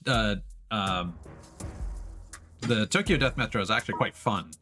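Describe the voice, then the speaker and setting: A man's voice: on the low side, a little slow. A man doing casual live let's play commentary, exclaiming to himself and thinking aloud with casual swearing. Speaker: game streamer. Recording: game music behind the voice. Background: video game music.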